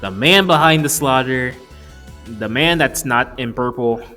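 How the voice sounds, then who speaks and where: A man's voice: medium pitch, unhurried, bright, forceful tone. Casual male reviewer over music. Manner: wry, profane, mock-exasperated. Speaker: movie reviewer. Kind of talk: casual video review narration with jokes.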